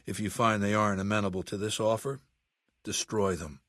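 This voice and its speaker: An actor as an imperious aging warlord, low-voiced. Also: male voice, fairly even intonation, fairly bright tone, no room sound, studio quality, lightly acted. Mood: cold, commanding. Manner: measured, commanding, threatening. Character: aging, imperious, ailing warlord dictator, cold and menacing. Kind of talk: video game character dialogue.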